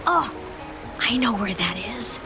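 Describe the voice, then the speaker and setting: High-voiced, unhurried curious traveller. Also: female voice, animated. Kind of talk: video game voice acting. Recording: music behind the voice.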